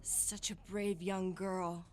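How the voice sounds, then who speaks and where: A woman's voice: on the low side, flat delivery, darkish tone. A woman playing a vain, hungry siren from the sea in an audio drama, taunting and menacing. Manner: slow, taunting, menacing, hissing. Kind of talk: scripted audio drama acting. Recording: music under the voice.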